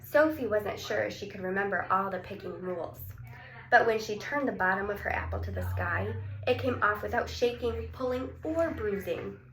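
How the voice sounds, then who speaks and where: A woman's voice: mid-range voice, normal speed, fairly bright tone. A woman reading a picture book aloud to young children, drawing out words and putting questions to her listeners. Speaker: story reader for young children. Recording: roomy echo, some background noise.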